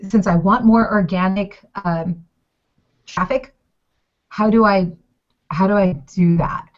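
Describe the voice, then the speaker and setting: A woman's voice: lowish voice, a little mellow. Giggly Mexican-born woman on a video call. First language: Spanish. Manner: giggly, goofy, chatty with many restarts. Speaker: consulting client, food blogger. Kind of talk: casual video call chat. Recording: slightly dull video call audio.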